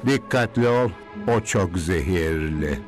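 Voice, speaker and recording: Nervous, shady cartoon scientist. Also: male voice, deep voice, lively intonation, takes it slowly, soft background music. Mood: cautioning, proud. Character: nervous, shady male scientist, greedy and wary. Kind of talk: dubbed cartoon acting.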